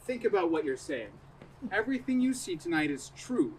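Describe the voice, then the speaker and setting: A man playing a blunt, deadpan radio station assistant in community theatre. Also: sits high, a little fast. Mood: puzzled. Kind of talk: community theatre stage acting. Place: outdoor stage in a parking lot. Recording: distant mic with noisy outdoor ambience.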